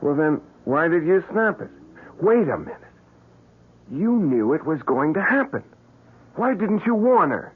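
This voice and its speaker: An actor playing a stubborn, evasive man in a radio drama, husky and defensive, stammering as he argues. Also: on the high side, big pitch swings, measured pace, long pauses, exaggerated voice. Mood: agitated. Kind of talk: old-time radio drama acting. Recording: narrow-band vintage radio recording.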